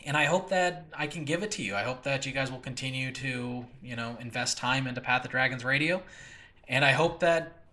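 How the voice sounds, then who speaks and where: Male podcaster, bright forceful voice, mid pitch; effusive, rambling solo host who keeps interrupting himself. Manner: excitable, gushing, effusive; rambling, halting, self-interrupting. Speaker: host and creator of an audio drama channel. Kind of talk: casual solo podcast talk to listeners.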